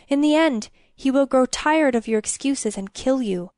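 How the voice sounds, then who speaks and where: A woman's voice: sits high, moderate pace, a little mellow, no room sound, pitched above the performer's own voice, natural voice. Storybook knight, voiced by a woman: young, sincere, nervous, urgently entreating, dry close sound. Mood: urgent pleading. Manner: pleading, urgent. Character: earnest, anxious young knight, pleading and stammering.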